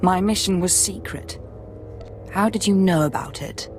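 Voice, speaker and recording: A woman's voice: mid-range voice, measured pace, close to an everyday voice. A woman voicing a cold, taunting, imperious assassin and master of beasts in a video game. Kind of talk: video game dialogue acting. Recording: music behind the voice.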